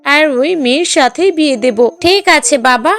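A woman's voice: high-pitched, normal speed, fairly bright tone, faint music behind. A woman playing a middle-aged village mother in a cartoon drama, coaxing in a fretful, affectionate way. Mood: agreeable, inquisitive.

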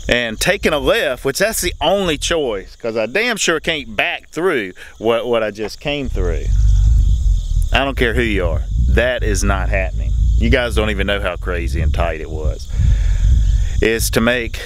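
A man in his forties, a truck driver, talking excitedly to the camera through a headset mic as he works out a tight route on country roads. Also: fairly low pitch, measured pace, noisy background. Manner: excited, talks straight to camera. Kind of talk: casual vlog narration. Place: outdoors on rural roads and inside a truck cab.

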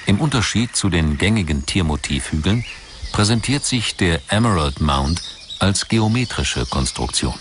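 A man reading scripted documentary narration in a measured, articulate way. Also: low voice, background noise.

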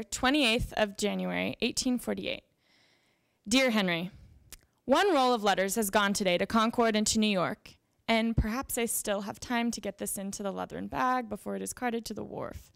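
A young woman giving a dramatic reading of old letters at a lectern, with measured, deliberate phrasing. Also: mid-range voice, gravelly. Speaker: reader at a literary event. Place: library event room with seated audience. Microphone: handheld microphone at a lectern.

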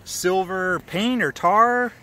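A man's voice: sits high, slow pace, pushed and bright, a little hiss or hum. A man filming outdoors with a handheld camera, chatting and teasing as he narrates what he shows. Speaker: son filming his father for a video. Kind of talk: casual vlog narration and chat. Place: outdoors at a small airfield.

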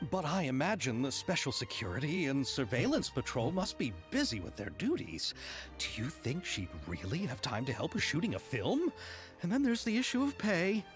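An earnest young director. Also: male voice, fairly high pitch, huge pitch swings, normal speed. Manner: polite, thoughtful. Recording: game audio with music behind.

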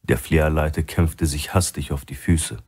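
A man's voice: extremely low pitch, steady tone, normal speed, darkish tone, husky. A man reading a crime thriller audiobook as a scripted narration.

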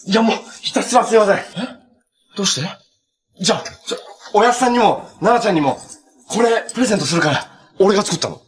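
A man's voice: high voice, lively intonation, fast, slightly rough. An actor playing a cheerful, earnest young male superhero in a live-action TV drama, with a casual, friendly way of talking. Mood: bright, excited. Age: young adult.

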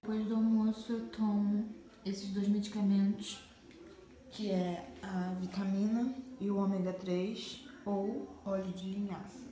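A woman in her twenties with a Brazilian Portuguese accent, hoarse from a cold, chatting casually to the camera in a vlog and often dropping into a breathy half-whisper. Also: mid-range voice, low-quality recording. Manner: relaxed, chatty, drawn-out words. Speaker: vlogger. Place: small bedroom indoors. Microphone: phone or webcam at arm's length.

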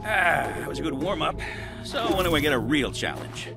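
A man's voice: a little high, big pitch swings, moderate pace, gravelly. An actor playing a cocky, wry male superhero from the future in a video game. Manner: confident, wry. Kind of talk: video game cutscene acting. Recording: loud music over the voice.

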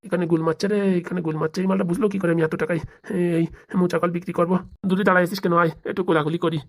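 A scheming male village politician in a comic cartoon, played by a woman. The voice sits fairly low and tumbles out very fast. He gushes with emphatic, brotherly familiarity. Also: fairly even intonation. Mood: suspicious, sly.

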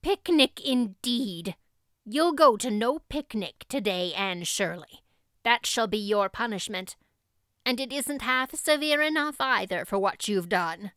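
A woman's voice: on the high side, expressive, a little slow, fairly bright tone, dry recording, clean studio sound. Woman acting a stern, exasperated guardian. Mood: stern, angry. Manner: curt, firm, indignant.

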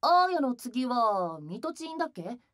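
A man's voice: extremely high pitch, big pitch swings, normal speed, fairly bright tone, no room sound, clean studio sound, heavily acted. A man playing a sweet, cutesy, girlish young male idol in a voice drama, bubbly in manner. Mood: cheerful. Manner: cute, bubbly, refers to self by name. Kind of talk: Japanese voice drama acting.

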